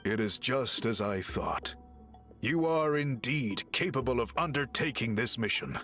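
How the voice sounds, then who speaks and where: Learned male scroll scholar; formal, patient explanations with an animated lilt over soft game music. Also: mid-range voice, measured pace. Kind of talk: game dialogue.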